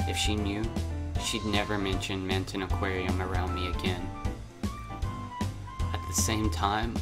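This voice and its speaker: A man hosting a gaming video, reading a visual novel aloud and breaking in with casual, self-interrupting asides. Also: fairly low pitch.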